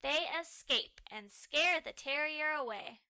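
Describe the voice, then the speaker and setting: Female read-aloud narrator for children's books: lively melody, deliberate delivery, close dry sound. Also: high voice, no room sound, poor audio. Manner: animated, deliberate, models fluent reading. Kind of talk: expressive children's storybook narration.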